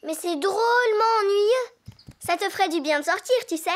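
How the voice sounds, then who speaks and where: Bold cartoon girl, eager, bossy, very high pitch, children's music. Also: expressive, moderate pace, a bit bright. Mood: coaxing. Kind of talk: cartoon voice acting.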